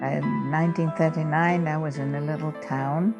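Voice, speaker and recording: A woman's voice: sits low, steady tone, moderate pace, vocal fry, lo-fi sound, music in the background. A woman in her eighties from Poland recounts wartime details earnestly, listing them one after another. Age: 80s. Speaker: Holocaust survivor, interviewee. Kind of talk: testimony interview.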